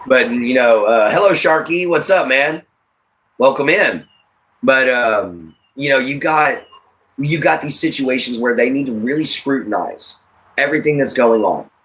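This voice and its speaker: A man's voice: medium pitch, lively intonation, unhurried, a bit bright, poor audio. An American man in his late thirties hosting a casual livestream, giving rambling, repetitive opinions and addressing listeners directly. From United States. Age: late 30s. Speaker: livestream host.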